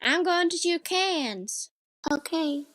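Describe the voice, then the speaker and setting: A woman voicing an eager, excitable seven-year-old boy in an amateur comic dub. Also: very high-pitched, slow pace, no echo, full character voice.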